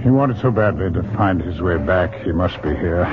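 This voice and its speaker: A man's voice: low-pitched, lively intonation, moderate pace. An actor playing a middle-aged, anxious and protective husband and father in a 1970s radio drama. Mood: grave. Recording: dull, lightly noisy old radio recording.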